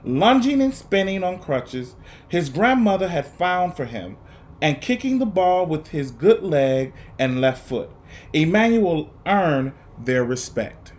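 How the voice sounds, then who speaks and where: A man reading a children's picture book aloud, phrasing it dramatically and deliberately with emphatic pauses. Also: fairly high pitch, sing-song. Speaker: storybook reader.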